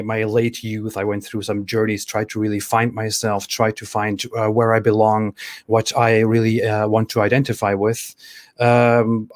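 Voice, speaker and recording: Man from Germany, interview guest, video call, measured and hesitant, dry sound, low monotone. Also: no room sound. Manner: measured, hesitant with filler "um"s and restarts. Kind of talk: interview storytelling.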